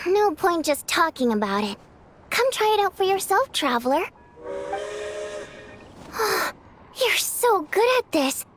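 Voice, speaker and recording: High-voiced, capable young manager. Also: female voice, big pitch swings, slow pace, pauses often, background noise, exaggerated voice. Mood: helpful, confident. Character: capable, matter-of-fact young female station manager and singer. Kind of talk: animated video game voice acting. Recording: faint music behind the voice.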